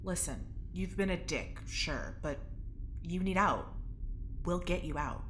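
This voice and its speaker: A woman playing a brash, suspicious, sharp-tongued plotter in an audio drama, blunt and sarcastic. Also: on the low side, expressive, unhurried, slight background noise. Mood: gruff, sincere.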